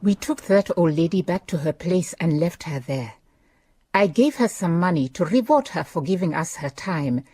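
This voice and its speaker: An actress playing a compassionate, thoughtful woman detective in a radio drama, with a Southern African accent and gentle, measured delivery. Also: lowish voice, slightly rough, dry recording, clean studio sound. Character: thoughtful, compassionate Motswana woman detective, telling her case. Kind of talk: radio drama dialogue and reflective narration.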